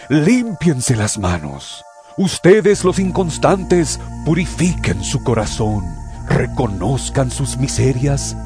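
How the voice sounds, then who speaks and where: A man playing a stern, fervent apostle in an audio Bible drama, reading scripture in a solemn, emphatic and deliberate way. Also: medium pitch, darkish tone. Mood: stern exhortation. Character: stern, fervent male apostle exhorting believers. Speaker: narrator reading a Bible epistle. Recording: studio audio drama with music behind. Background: music.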